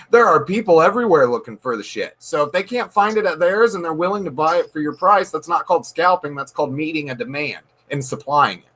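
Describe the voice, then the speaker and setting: A man speaks with a slightly raised pitch in a casual interview. He sounds earnest and emphatic, often doubling words as he gathers his thoughts. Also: animated, a little fast, bright, forceful tone. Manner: earnest, emphatic, repeats words while thinking. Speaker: card shop owner, guest. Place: dark room.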